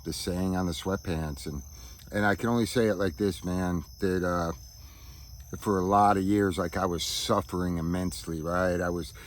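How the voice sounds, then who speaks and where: A man giving a reflective personal monologue as a vlogger, halting as he searches for words and often checking in with a casual tag question, recorded outdoors. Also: sits low, creaky. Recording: outdoor ambience with insects chirping.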